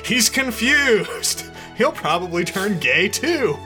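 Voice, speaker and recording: A man's voice: mid-range voice, expressive, normal speed, pushed and bright, soft background music. A man playing a blunt, knowing young cartoon sidekick who needles his friend in a matter-of-fact way. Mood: needling.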